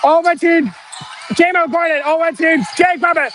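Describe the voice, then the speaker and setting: A man giving excited live basketball play-by-play, shouting the calls in a strained voice that is giving out. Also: very high voice, moderate pace. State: strained, losing his voice. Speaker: amateur play-by-play announcer. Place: indoor school gym. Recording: noisy gym with ball bounces.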